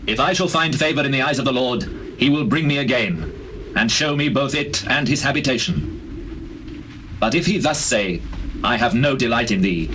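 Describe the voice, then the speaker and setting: A man's voice: mid-range voice, fairly bright tone, lightly acted. An actor playing a grave, dignified old king in a dramatized scripture, speaking solemnly and formally with a British accent. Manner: solemn, measured formal speech. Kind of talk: dramatized scripture, classical stage acting. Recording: noisy old cassette tape, birdsong behind.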